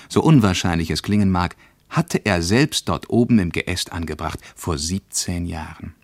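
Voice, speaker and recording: A male actor playing a reflective traveller who reads his travel diary aloud as scripted radio narration, in a measured, literary manner. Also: low voice, dry recording, clean studio sound.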